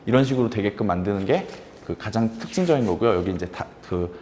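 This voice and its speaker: Guy teaching fiction writing, fast and lively, lots of um-like fillers, echoey hall sound. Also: on the low side, slight background noise. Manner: animated, with many fillers and acted-out examples.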